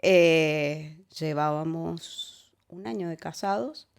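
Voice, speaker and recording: A woman in her forties with an Argentine Córdoba accent and a fairly low voice. She tells her story as a guest in an easygoing interview. She is halting, often repeats words and laughs easily. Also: creaky, clear, resonant tone. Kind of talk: casual interview, telling her life story.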